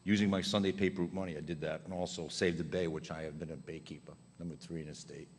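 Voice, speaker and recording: A man's voice: deep voice, fairly even intonation, fast, slightly rough. A retired older man speaking during public comment at a town council meeting, rambling through his credentials and drifting off topic. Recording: echoey hall.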